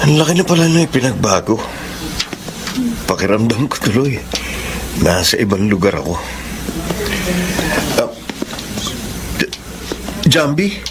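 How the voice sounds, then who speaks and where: An actor playing an earnest, opportunistic young man, pleading his case in a radio drama. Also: lowish voice. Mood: surprised. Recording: noisy ambient background behind voice.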